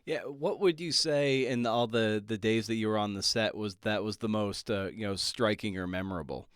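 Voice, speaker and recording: Smooth, low-voiced male podcast host. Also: normal speed, dry recording, studio quality. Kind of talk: casual interview chat.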